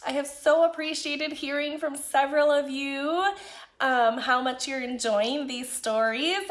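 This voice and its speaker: A woman hosting a children's story time, talking and reading aloud in an expressive, deliberate way. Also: high voice. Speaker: children's and families director reading stories. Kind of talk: warm greeting and read-aloud children's storytelling.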